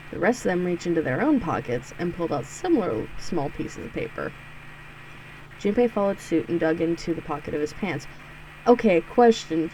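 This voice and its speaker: A woman's voice: lowish voice, warm tone, a little hiss or hum, rough recording. A woman hosting a let's play, reading a visual novel aloud with sarcastic, giggly asides and free swearing. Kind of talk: casual, joking read-through with asides.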